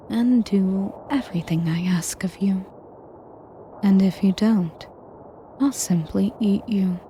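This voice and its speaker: A woman playing a sadistic, possessive female dragon in an intimate audio roleplay, speaking in a measured, formal, breathy and commanding way. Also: medium pitch, warm tone, slight background noise, close to an everyday voice. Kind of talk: slow, intimate audio roleplay addressed to the listener.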